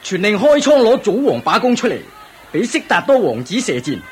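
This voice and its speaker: Proud jovial king, very lively pitch. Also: moderate pace, slightly rough, full character voice. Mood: regal, pleased. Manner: exclaiming, chuckling, expansive. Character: proud, jovial king, excited by his prince's feats. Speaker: voice actor. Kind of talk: Cantonese radio drama acting. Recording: music under the voice.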